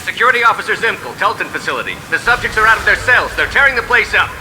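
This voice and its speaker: A man's voice: high-pitched, very animated, noisy background. An actor playing a panicked male security officer making an urgent report. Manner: urgent and fast.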